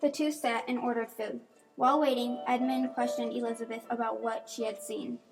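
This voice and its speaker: Woman narrating an amateur radio mystery from a script, highish voice. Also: flat delivery, fairly quick, creaky, over music, poor audio.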